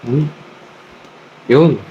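A man with a Filipino accent giving a casual hands-on demo, haltingly thinking aloud as he works. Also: dull audio. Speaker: motovlog host. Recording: distant mic with clear background noise.

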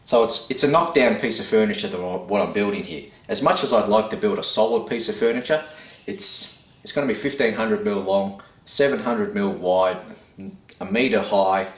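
A man's voice: on the low side, moderate pace. A man in his thirties with an Australian accent, casually explaining his woodworking project in plain, laid-back words from a home workshop. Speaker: woodworking vlogger. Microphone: camera mic, speaker a few steps away. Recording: echoey workshop, voice from a distance.

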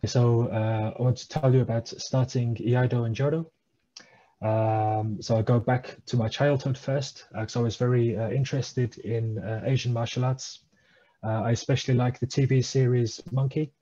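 A man's voice: medium pitch, fairly even intonation, moderate pace, mellow, highs cut off. Thoughtful man on a video call. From England. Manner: hesitant, many uh fillers, thoughtful. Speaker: guest. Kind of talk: casual interview over video call. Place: small room at home, indoors. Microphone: headset on video call.

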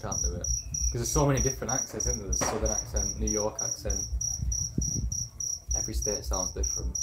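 A man's voice: on the low side, brisk. A British man in his twenties chatting casually as he hosts a livestream and answers viewer comments. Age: 20s. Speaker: travel vlogger hosting a livestream. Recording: very noisy, insects and clicks. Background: loud cricket and insect noise.

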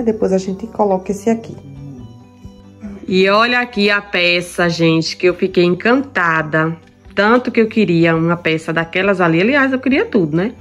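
A woman's voice: medium pitch, darkish tone. A woman from Brazil, chatting casually through a cooking vlog in her home kitchen, fond of diminutives. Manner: chatty, affectionate, lots of diminutives. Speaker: vlogger.

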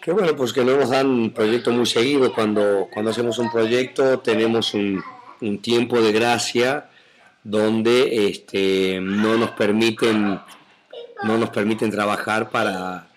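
A man with a mixed Argentine and Mexican Spanish accent, chatting casually from his home on a live webcam stream, rambling through viewer comments with many fillers and soft laughs. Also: lowish voice, steady tone, slow. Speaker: actor doing a live webcam chat.

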